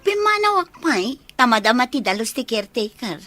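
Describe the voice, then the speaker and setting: A woman's voice: a little high, sing-song, moderate pace, pushed and bright, dry recording, exaggerated voice. A woman playing a polite young village daughter with an Ilocano accent in a radio drama. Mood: polite, casual.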